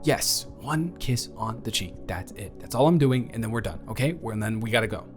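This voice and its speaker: Male actor as flustered young male student, anxious, awkward, halting and rambling, medium pitch, conversational audio roleplay. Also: faint music behind, lightly acted. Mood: nervous, flustered.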